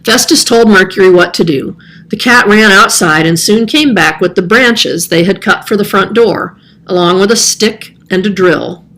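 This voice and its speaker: A woman's voice: medium pitch, normal speed, fairly bright tone. A woman reading a children's story aloud as scripted narration. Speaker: storybook reader.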